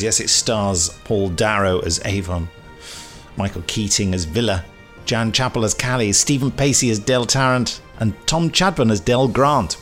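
A British man co-hosting a podcast, low-pitched and very lively in intonation. He is jokey and casual, with music behind him. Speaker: podcast co-host. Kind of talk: casual presenting.

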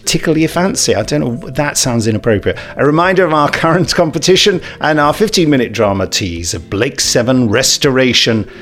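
A man's voice: medium pitch, big pitch swings, brisk, few pauses, a bit bright. A British man hosting a podcast with jokey, self-deprecating, rambling casual chat. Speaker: podcast host. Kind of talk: unscripted casual banter.

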